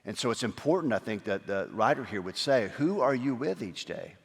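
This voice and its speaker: A man delivers a Sunday sermon in an easy, conversational way, stumbling over restarts and "um"s as he works through his points. His voice sits at an ordinary middle pitch. The sound carries the long tail of a church hall. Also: big pitch swings, a little fast, vocal fry. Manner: conversational, frequent fillers and restarts. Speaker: pastor. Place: church sanctuary.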